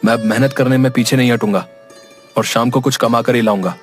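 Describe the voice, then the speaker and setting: Young male villager for cartoon tale, played by a man: medium pitch, brisk, earnest, protesting, music bed. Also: fairly even intonation, lightly acted. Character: hot-headed, earnest young village man seeking work. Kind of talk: cartoon moral story acting.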